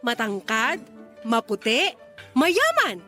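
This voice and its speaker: A woman's voice: a little high, wildly swooping pitch, slow, bright, forceful tone, faint music behind, full character voice. A woman acting an irritated, picky young single mother with blunt, huffy retorts in a Filipino radio drama. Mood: dismissive.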